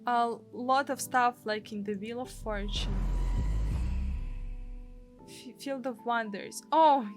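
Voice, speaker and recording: A woman's voice: fairly high pitch, slow pace, soft background music. A woman from Russia with a Russian accent, a streamer expressively reading and translating a game's story aloud in English. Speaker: gaming streamer. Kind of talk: live read-aloud translation of game dialogue with narration.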